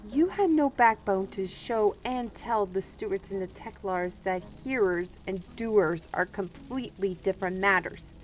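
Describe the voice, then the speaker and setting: An earnest female steward, played by a woman, speaks slowly and solemnly at a middle pitch. She is principled and measured, a fantasy drama heroine over faint music. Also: natural voice, rough recording. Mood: defiant. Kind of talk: fantasy audio roleplay drama.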